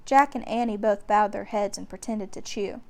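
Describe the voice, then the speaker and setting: A woman's voice: medium pitch, moderate pace. A woman narrating a children's book aloud, giving the descriptions dramatic emphasis. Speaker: storyteller.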